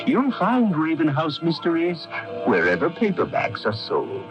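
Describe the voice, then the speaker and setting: A man's voice: wildly swooping pitch, measured pace. Dramatic ad man, hugely swooping intonation. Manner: suspenseful, theatrical sales pitch. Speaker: commercial announcer. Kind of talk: dramatic scripted radio advertisement. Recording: dull lo-fi old radio broadcast. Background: loud music.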